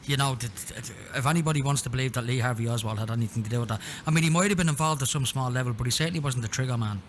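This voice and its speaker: A man with an Irish accent hosting a radio interview in an informal, conversational way, with hesitant restarts, over a noisy line with low rumble. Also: very fast. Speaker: radio show host.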